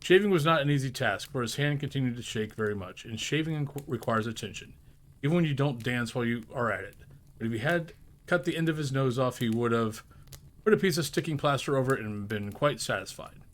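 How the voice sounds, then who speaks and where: Animated man narrating a storybook. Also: medium pitch, a little fast. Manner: theatrical, emphatic, occasional stumbles over words. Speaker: audiobook narrator.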